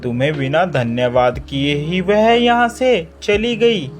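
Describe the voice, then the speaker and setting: A narrator voicing an indignant, loyal male crow in a cartoon story, speaking in a loud, scolding way. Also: medium pitch, takes it slowly, soft background music, lightly acted.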